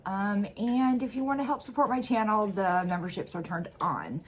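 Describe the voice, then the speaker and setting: A woman's voice: mid-range voice, expressive, moderate pace, a little hiss or hum. A woman from the United States hosting a reaction video from home, chatting casually with plenty of ums and uhs. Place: home room.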